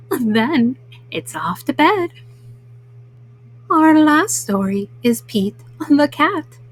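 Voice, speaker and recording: A woman reading children's bedtime stories aloud as a storyteller, in a gentle, motherly way. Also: fairly high pitch, breathy edge, poor audio.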